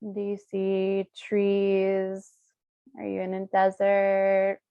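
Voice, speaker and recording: A woman leading a guided meditation as a Reiki practitioner, speaking soothingly in short, unhurried phrases with pauses. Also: medium pitch, monotone, clear, resonant tone, no echo, muffled recording.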